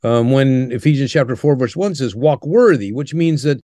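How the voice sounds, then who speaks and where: An older man speaking in an earnest, measured way, like someone teaching, and pausing often with "uh". His voice sits at a middle pitch and is recorded dry and close, with no room sound. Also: animated, mellow, no echo. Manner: earnest, measured, with frequent "uh" pauses. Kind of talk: interview answers, teaching tone.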